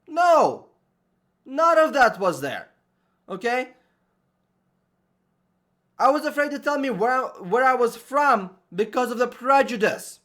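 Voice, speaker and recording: High-voiced man. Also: a bit bright. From Uzbekistan. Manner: emphatic, ranting, checks in with "okay?". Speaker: vlogger. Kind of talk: opinion monologue to camera. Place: room at home with an air conditioner running.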